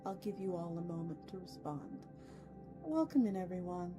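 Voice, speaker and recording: A woman hosting a livestream, chatting casually with her viewers in a playful way, with chatty asides and drawn-out emphasis. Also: on the low side, animated. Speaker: VTuber streamer host. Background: soft ambient music.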